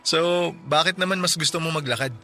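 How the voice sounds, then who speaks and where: A man's voice: on the high side, fairly quick, sharp tone. A man playing a modest, caring young suitor chatting casually in a radio drama. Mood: relaxed, teasing. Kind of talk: audio drama dialogue. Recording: light music bed behind.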